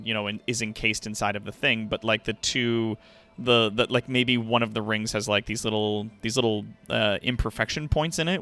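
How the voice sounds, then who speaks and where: A man livestreaming in casual chat with viewers, thinking aloud in loose, halting phrases. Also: mid-range voice, bright, forceful tone.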